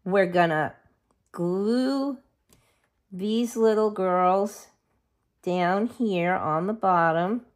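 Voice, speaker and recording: Woman with a cold-scratchy voice, chatty. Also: medium pitch. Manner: chatty, self-interrupting, forgets names of supplies. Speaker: craft video host. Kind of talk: casual crafting tutorial.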